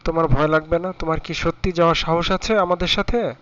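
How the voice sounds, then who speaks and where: A man's voice: a little high, fairly even intonation, a little fast, a bit bright, no room sound, lightly acted. A man playing a caring, cautious fisherman father in a children's cartoon. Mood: caring, questioning. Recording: muffled sound with music behind.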